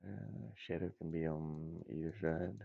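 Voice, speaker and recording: A man's voice: very deep voice, steady tone, measured pace, creaky, dry recording, muffled recording. A man thinking aloud in casual, rambling chat as he works on game art, muttering and making playful asides. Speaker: indie game designer streaming.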